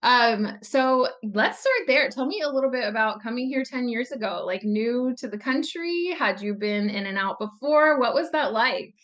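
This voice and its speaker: A woman's voice: fairly high pitch, animated, moderate pace, hardly any pauses, a bit bright, highs cut off. A woman hosting an interview show for moms over a video call, bubbly and gushing about her guest. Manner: bubbly, gushing, lots of likes. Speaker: podcast host.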